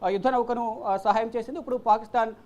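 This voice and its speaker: A woman presenting unscripted news commentary, repeating phrases and pausing on fillers as she goes. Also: a little high, steady tone, a little mellow.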